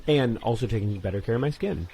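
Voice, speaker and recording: A man in his twenties, co-hosting a casual podcast chat, rambling and animated, full of fillers and restarts. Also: mid-range voice, fast, vocal fry, a little hiss or hum. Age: 20s. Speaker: podcast co-host. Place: New York.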